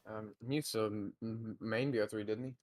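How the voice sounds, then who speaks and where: A man playing along in voice chat, low-key and mostly asking quick questions. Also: fairly even intonation. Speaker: co-player in voice chat. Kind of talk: casual gaming chat.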